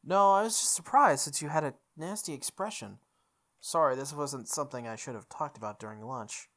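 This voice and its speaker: A man voicing a serious, formal male high school student in a visual novel. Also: a little fast, fairly bright tone. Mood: concerned.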